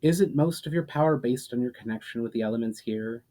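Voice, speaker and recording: A man's voice: medium pitch, a little fast, warm tone, lightly acted. A man voicing a worried, perceptive woman in a fantasy storybook reading, anxious and questioning. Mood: probing, uneasy. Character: worried, perceptive older woman, mage's loving companion.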